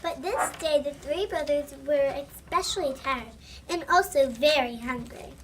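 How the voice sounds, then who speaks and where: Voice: high-pitched, measured pace, a bit bright. A child reading scripted storybook narration aloud. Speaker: student narrator. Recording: faint room noise.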